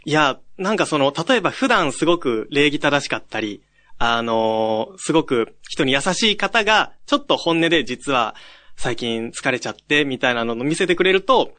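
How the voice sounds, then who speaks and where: A young man chatting as a guest in an earnest, courteous way, quick to apologise. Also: mid-range voice, very animated, sharp tone. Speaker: guest, trainee voice actor. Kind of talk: casual self-introduction and banter.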